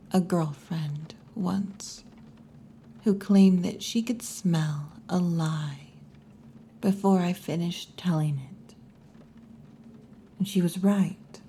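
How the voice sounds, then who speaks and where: A wry, muscular tomboy wolf girl bartender, played by a woman in an ASMR roleplay. She teases in a low, mellow, breathy near-whisper and takes her time, with very long, slow phrasing. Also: slight background noise. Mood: wistful. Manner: slow, unhurried, wry and teasing.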